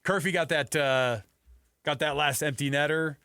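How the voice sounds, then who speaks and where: A man hosting a sports radio show, talking casually in an animated, enthusiastic, conversational way. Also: a little high, normal speed, clear, resonant tone, no echo, clean studio sound.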